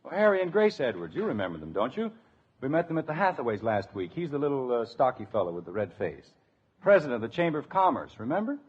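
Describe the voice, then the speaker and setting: A man's voice: mid-range voice, sing-song, quick pace, slightly rough. An actor playing a flustered, well-meaning but stubborn husband and father in an old radio sitcom. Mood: defensive, coaxing. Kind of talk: 1950s radio sitcom acting. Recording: dull old radio recording.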